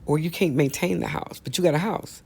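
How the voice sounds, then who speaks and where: A man's voice: on the high side, fairly quick, gravelly, a little mellow, breathy edge. A man hosting a casual opinion monologue, talking straight to listeners and repeating phrases for emphasis. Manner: conversational, addresses listeners directly. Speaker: host.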